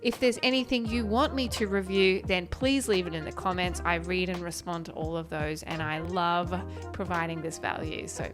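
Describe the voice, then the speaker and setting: Woman host, light higher voice, friendly explanatory review talk, close mic, music bed. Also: fairly even intonation. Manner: friendly, chatty and explanatory, quick when talking. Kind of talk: casual tech review talk to camera. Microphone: close podcast microphone.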